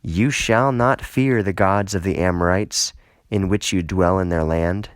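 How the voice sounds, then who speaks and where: A man reading scripture aloud as an audiobook narrator, measured and solemn, lifting into emphasis for quoted speech. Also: sits low, no room sound, clean studio sound. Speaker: audiobook reader.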